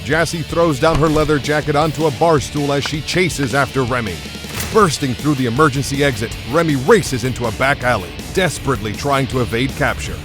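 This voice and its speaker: A man's voice: mid-range voice, fairly quick. A man giving dramatic scripted narration as the narrator of an audio drama. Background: music clearly underneath.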